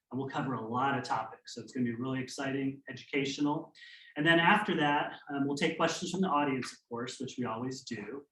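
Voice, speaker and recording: A man's voice: mid-range voice, a bit bright. Guy hosting a club meeting, chatty, kinda far from the mic, roomy echo. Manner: friendly, chatty, with "um" fillers. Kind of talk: meeting announcements. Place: church meeting hall. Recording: echoey hall, mic at a distance.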